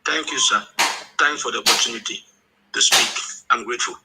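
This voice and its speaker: A man's voice: mid-range voice, normal speed. A man speaking solemnly and deferentially as an audience member in a political discussion. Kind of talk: devout political tribute. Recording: clearly noisy line with clicks.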